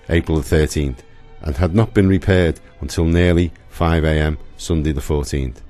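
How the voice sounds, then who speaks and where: Very deep-voiced male narrator. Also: a little mellow. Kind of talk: scripted historical documentary narration.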